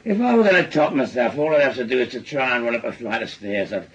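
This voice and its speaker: An actor playing a bitter, weary, ailing working-class father with a Northern English accent and a husky, halting delivery in a radio drama. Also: medium pitch, expressive, dull audio. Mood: pleading, wry. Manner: halting, repeating himself. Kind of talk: naturalistic British radio drama acting.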